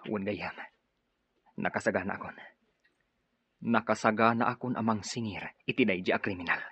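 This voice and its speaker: A husky, earnest, resolute avenger. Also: male voice, on the low side, moderate pace, no room sound. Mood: grimly determined. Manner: earnest, determined. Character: resolute avenging son, devoted to his mother. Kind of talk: radio drama acting. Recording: dull, dry radio drama with music. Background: music.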